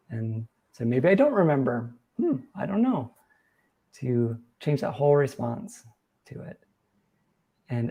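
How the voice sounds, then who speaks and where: A man's voice: a little high, dark tone. A man hosting a live question-and-answer chat who is losing his voice, talking in an earnest, coaching way. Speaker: host reading viewer questions.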